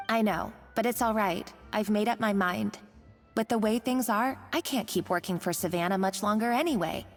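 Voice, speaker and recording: A woman's voice: medium pitch, normal speed, natural voice. A woman playing a nervous but determined young cook who talks hesitantly. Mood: earnest. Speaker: professional chef. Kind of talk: video game dialogue. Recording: reverberant game audio with faint music.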